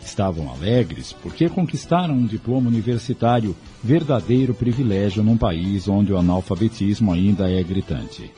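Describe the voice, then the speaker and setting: A man with a Brazilian accent narrating a scripted audio drama in a measured, literary storytelling manner. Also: lowish voice, lively intonation, mellow, faint music behind.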